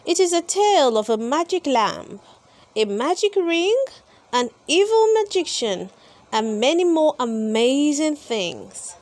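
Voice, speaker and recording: A woman with a high voice reads a children's storybook aloud. Her pitch moves up and down in a lively way, and she takes her time, placing deliberate, dramatic emphasis on the words. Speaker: storybook reader and channel host. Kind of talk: expressive children's read-aloud narration.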